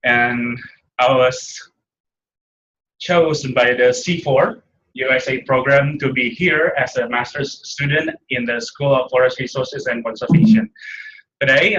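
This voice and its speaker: A young man from Indonesia with an Indonesian accent, giving a seminar talk in halting English with many fillers and restarts. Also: mid-range voice, a bit bright, highs cut off. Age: young adult. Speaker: seminar presenter. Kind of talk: academic slide presentation. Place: seminar room.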